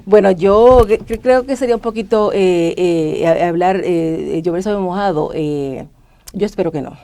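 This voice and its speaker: A woman's voice: on the low side, unhurried, mellow. A woman, a stockbroker answering interview questions, hesitant with many fillers. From Puerto Rico. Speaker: stockbroker guest.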